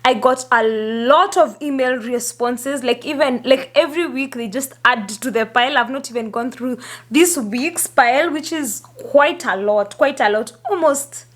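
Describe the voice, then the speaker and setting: A hoarse woman, chatting upbeat to her viewers in a casual vlog, laughing often between phrases. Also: high-pitched, measured pace. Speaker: YouTuber. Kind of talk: casual vlog talk to camera.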